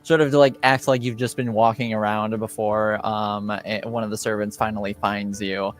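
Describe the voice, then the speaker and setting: A man running a tabletop game as game master, narrating in a relaxed, hesitant way with plenty of ums. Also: on the low side, normal speed.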